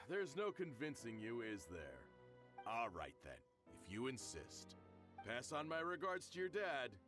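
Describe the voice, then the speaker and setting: Kindly, weary, animated townsman. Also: medium pitch, slow pace, music in the background. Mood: grateful, resigned. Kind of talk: anime-style video game voice acting.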